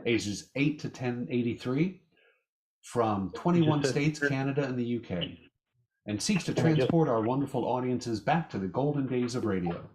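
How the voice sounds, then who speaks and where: A man announcing a radio show in a measured, theatrical, scripted style. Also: medium pitch, darkish tone, poor audio.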